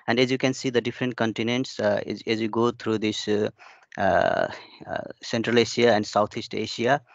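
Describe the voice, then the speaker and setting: A man's voice: lowish voice, no room sound. Hesitant Nepali-raised man presenting research. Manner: hesitant, many fillers and restarts. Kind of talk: webinar presentation. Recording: muffled dry video call audio.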